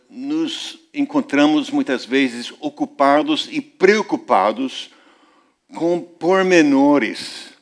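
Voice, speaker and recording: A man preaching a sermon as a visiting speaker, with a Portuguese accent that is not from Portugal, speaking earnestly and rising in pitch for emphasis. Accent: Brazilian-influenced Portuguese, non-Portuguese. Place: church service.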